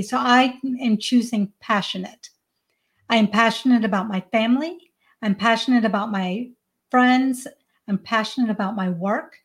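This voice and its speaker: A woman in her forties with an American accent and Hindi as her first language, talking conversationally as an interview guest. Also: a little high. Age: 40s. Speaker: podcast guest, parenting coach.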